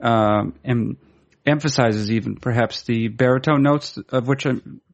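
A man's voice: medium pitch, fairly even intonation, unhurried, creaky, muffled recording. A man hosting a podcast, chatting casually and teasing his guest. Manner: joking, teasing banter. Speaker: podcast host.

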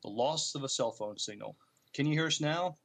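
Man co-hosting a casual podcast, medium pitch. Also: fairly quick, gravelly, a bit bright. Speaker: podcast co-host. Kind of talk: casual movie news chat.

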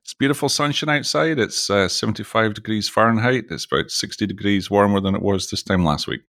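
Scottish man, middle-aged, very low voice, dry studio sound, chatty and jokey. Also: a little fast, gravelly, a bit bright, no room sound. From Scotland. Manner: chatty and jokey, with frequent restarts. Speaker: podcast guest, oil industry executive. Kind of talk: casual interview chat.

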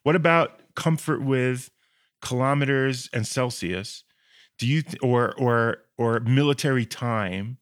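A man's voice: mid-range voice, a bit bright, dry recording. Eager man asking questions, dry sound. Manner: curious and eager, asks questions. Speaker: podcast host. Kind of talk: casual interview conversation.

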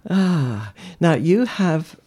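A woman's voice: low voice, very animated, very slow, dark tone. Woman hosting a podcast, chatty, lots of little mm's and ooh's. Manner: chatty, reacts with short interjections. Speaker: podcast host. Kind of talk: casual interview.

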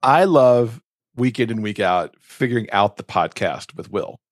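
A man's voice: lowish voice, lively intonation, measured pace, no echo, clean studio sound. A man chatting casually as a podcast co-host, speaking in a reflective, earnest way.

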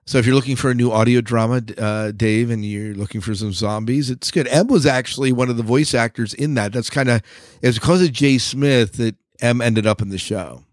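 A man's voice: medium pitch, dry recording. Man hosting a podcast, dry close sound. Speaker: podcast host. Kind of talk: casual co-host chat.

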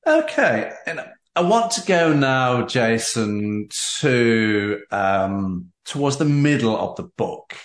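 Guy hosting an author interview, really lively intonation, repeats stuff for emphasis, echoey room. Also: mid-range voice, slow. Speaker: host of a book talk show. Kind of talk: interview, discussing a book with its author.

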